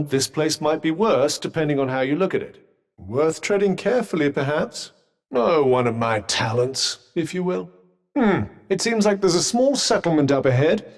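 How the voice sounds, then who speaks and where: A man's voice: fairly high pitch, long reverb tail. A man with a British accent playing a sardonic, pompous magical talking vambrace in a video game, speaking in formal, wordy phrases with dry wit. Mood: measured, dry, then haughty, pedantic. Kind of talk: video game character dialogue.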